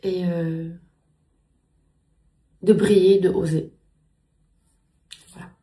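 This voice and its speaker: A woman's voice: on the low side, little pitch movement, drawn-out words, mellow. A woman in her thirties, a native Spanish speaker, talking casually to camera at home and repeating words as she looks for the right phrasing. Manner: earnest, repeats words while searching for phrasing. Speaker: vlogger.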